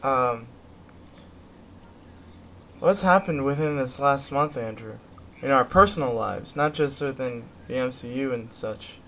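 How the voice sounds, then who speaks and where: A man co-hosting a podcast, chatting casually about pop culture in a halting way with drawn-out words. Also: mid-range voice, a bit bright, slight background noise. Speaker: podcast co-host.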